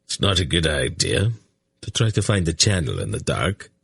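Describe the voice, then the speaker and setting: A sensible, hospitable riverboat captain, as a narrator voices him, polite to his royal passenger. The voice is deep and slightly husky, with a dry, close sound. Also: very animated, moderate pace, a bit bright, no echo, exaggerated voice.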